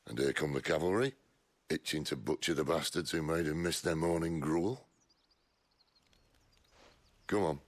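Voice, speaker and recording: A man voicing a wry, world-weary middle-aged outlaw leader in a video game, with a deep gravelly voice and a dry, sardonic manner. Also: normal speed, long pauses. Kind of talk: dramatic video game voice acting.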